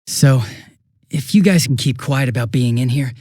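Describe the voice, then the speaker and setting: A man voicing a young police detective in a video game, affable and easily flustered, with a casual, hesitant and excitable way of talking. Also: medium pitch, normal speed, no echo, clean studio sound, lightly acted. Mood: polite, subdued. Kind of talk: English video game voice acting.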